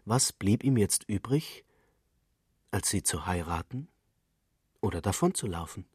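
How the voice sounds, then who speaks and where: A man's voice: lowish voice, animated, unhurried, darkish tone, breathy edge, no echo, clean studio sound. A man reading literary prose as a scripted narrator.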